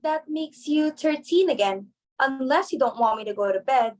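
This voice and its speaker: A woman's voice: high-pitched, lively intonation, moderate pace, darkish tone. A woman acting a timid, meek young society daughter in a dramatic play reading, with a hesitant manner. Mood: timid suggestion.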